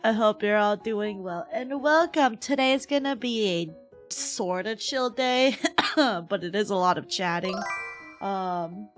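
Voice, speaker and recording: A woman livestreamer with a hoarse, cracking voice, chatting casually with her viewers in a playful, giggly manner. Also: medium pitch, slow. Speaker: livestream host, VTuber. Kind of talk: casual livestream chat, reading and answering chat messages. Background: background music.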